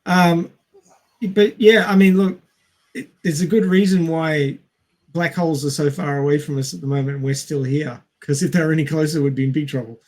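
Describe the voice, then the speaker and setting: A man's voice: on the high side, steady tone, brisk, a little mellow. A man talking casually on a discussion podcast, explaining things in a dry, understated way. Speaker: podcast co-host or guest.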